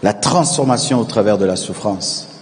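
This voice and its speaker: A male pastor preaching a sermon, calling on the congregation to repeat after him and swelling into shouted refrains. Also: lowish voice, animated, moderate pace, in a large hall, a little hiss or hum. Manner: preaching with call-and-response, rising to shouted repetitions.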